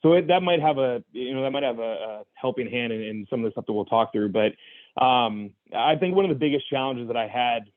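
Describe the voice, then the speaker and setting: Fast-talking young man on a tinny line. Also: medium pitch, no echo. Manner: earnest, reflective, full of restarts and "you know". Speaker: guest. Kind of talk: candid personal story in conversation.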